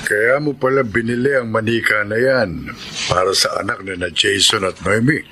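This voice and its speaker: An actor playing a frank, stern middle-aged uncle who admonishes firmly in a Filipino radio drama. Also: male voice, fairly low pitch, normal speed, music in the background, natural voice. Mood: admonishing. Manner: admonishing, firm.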